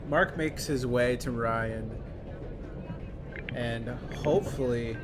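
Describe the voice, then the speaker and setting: A man's voice: medium pitch, slow. Young man commentating over game music. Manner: slangy, wry. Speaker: gaming content creator.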